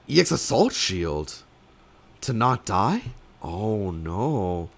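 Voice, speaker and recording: A young man, slightly sick and losing his voice, casting a card game tournament alone in rambling play-by-play full of fillers, with sudden bursts of excitement. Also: low voice. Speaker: tournament caster. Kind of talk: solo play-by-play commentary.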